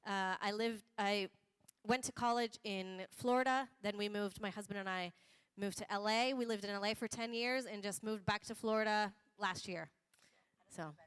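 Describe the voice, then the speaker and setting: A woman's voice: mid-range voice, fairly even intonation, normal speed, fairly bright tone, clean tone. A woman from Norway, an actor on a panel, speaking reflectively and searching for the right words. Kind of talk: panel talk. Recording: reverberant hall.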